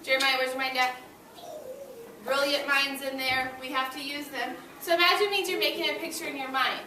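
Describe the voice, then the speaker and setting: A woman with a high voice leads a read-aloud lesson for young children as their schoolteacher. She instructs and prompts them to respond. The recording is distant from the microphone, with clear room noise. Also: steady tone, fairly quick, pushed and bright. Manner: instructive, prompting children to repeat after her. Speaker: elementary school teacher. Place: indoor school classroom. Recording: noisy echoey classroom, distant microphone.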